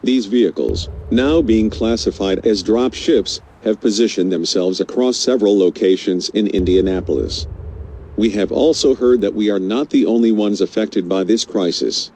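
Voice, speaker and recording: A man playing a radio news anchor, reading a scripted crisis bulletin in a formal, measured way. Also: fairly low pitch, mellow, a little hiss or hum, natural voice. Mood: grave, formal. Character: male radio news anchor covering an unfolding invasion crisis.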